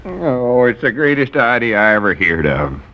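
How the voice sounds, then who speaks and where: An actor playing an excitable, scheming country storekeeper with a rural Arkansas accent in 1940s radio comedy. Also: male voice, mid-range voice, moderate pace. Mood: excited, scheming. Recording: dull old 1940s radio broadcast.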